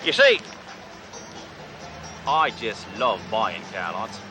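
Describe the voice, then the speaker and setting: A swaggering fake-Australian big shot, as a man puts on the accent, all bluster and boasts, with loud brassy delivery. Music plays behind him in this TV comedy. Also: fairly low pitch, lively intonation, slow pace. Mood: boastful. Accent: put-on Australian. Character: brash fake-Australian male tycoon, boastful.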